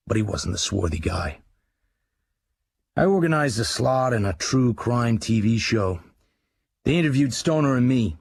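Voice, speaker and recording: An actor playing a brooding American crime writer, narrating his life in terse, intimate radio drama monologue with a husky, gravelly voice. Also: lowish voice, a little mellow, exaggerated voice. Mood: terse, cynical. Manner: terse, hard-edged, intimate. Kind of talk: dramatised first-person scripted narration.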